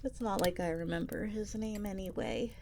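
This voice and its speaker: A woman's voice: medium pitch, moderate pace, close to an everyday voice. A woman playing a seductive, possessive witch in an ASMR audio roleplay, coy and flirtatious with a politely sinister manner. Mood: sly, possessive. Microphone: close binaural 3Dio microphone. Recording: nature sounds and fire crackle behind voice. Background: nature ambience, fireplace.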